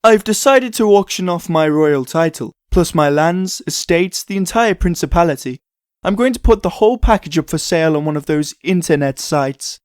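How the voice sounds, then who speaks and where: An actor playing a vain, scheming prince in a comic dub, suave and self-satisfied. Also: male voice, a little high, expressive, normal speed, dry recording, clean studio sound, close to an everyday voice. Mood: scheming, eager. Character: vain, smooth-talking, scheming broke prince.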